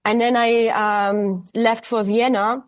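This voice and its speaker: A woman's voice: on the high side, flat delivery, takes it slowly. Austrian woman, thoughtful and hesitant. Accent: Austrian German. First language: German. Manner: thoughtful, hesitant, many fillers and restarts. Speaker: artist guest. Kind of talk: reflective interview conversation.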